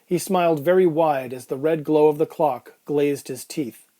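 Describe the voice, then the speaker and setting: A man acting out an uneasy patient in an audio drama, brooding over his own surreal ordeal. His voice sits a little high but stays soft-edged and mellow. He narrates deliberately, in the first person. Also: close to an everyday voice.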